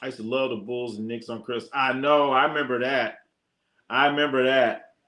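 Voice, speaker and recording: A man's voice: mid-range voice, fairly even intonation, moderate pace, pushed and bright. Blunt American man talking sports. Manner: blunt, slangy, repeats phrases for emphasis. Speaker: sports livestream host. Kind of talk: casual live sports commentary, opinionated chat.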